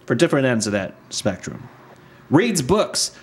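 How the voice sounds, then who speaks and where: Man, podcast host, casual chat, joking, swears, laughs a lot, average pitch. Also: measured pace, breathy edge. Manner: animated, jokey, laughs often, swears casually.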